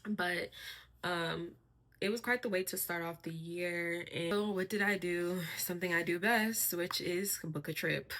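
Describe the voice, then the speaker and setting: A young woman talking casually to her camera in a personal vlog, soft-spoken and reflective, with a voice she calls monotone. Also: on the low side, a little slow. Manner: soft-spoken, reflective, with frequent fillers and light laughs. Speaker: vlogger.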